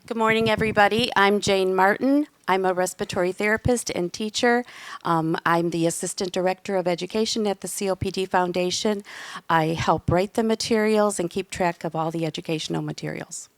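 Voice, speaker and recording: A woman's voice: medium pitch, fairly quick, no room sound. A woman from Michigan, a respiratory therapist and educator, introducing herself in a friendly, matter-of-fact way. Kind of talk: brief self-introduction.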